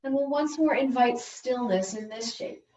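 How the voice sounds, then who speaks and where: A woman instructor calmly guiding gentle yoga and relaxation in an unhurried, soothing way. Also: a little high. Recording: roomy, distant, slightly muffled class recording.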